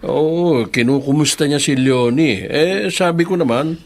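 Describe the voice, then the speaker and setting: Higher-pitched, easygoing working father. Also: measured pace. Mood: casual, reassuring. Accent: Filipino. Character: easygoing middle-aged father, tricycle driver. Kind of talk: Filipino radio drama acting.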